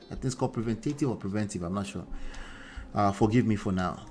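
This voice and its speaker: A man's voice: lowish voice, fast, soft background music. A man with a Nigerian accent, Yoruba as his first language, hosting a casual live talk on relationships and reading viewer comments aloud, repeating phrases for emphasis. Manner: animated, repeats phrases for emphasis, switches between loud appeals and quiet asides. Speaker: relationship coach and host.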